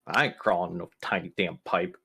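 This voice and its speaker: A man's voice: deep voice, steady tone, moderate pace, gravelly, pushed and bright, slightly rough. A man playing a tabletop roleplaying game, talking through his choices casually and off the cuff. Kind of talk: casual improvised roleplay chat.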